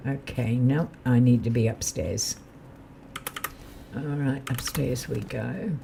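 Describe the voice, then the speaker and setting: A man with a slightly croaky voice, chatting casually and thinking aloud while he live-streams a game. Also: mid-range voice, steady tone, slow pace, noisy background.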